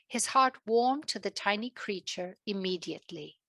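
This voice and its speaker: A woman narrating a children's story in a gentle, deliberate way, with a British accent that comes and goes. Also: medium pitch, animated, dry recording. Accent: British, fading in and out.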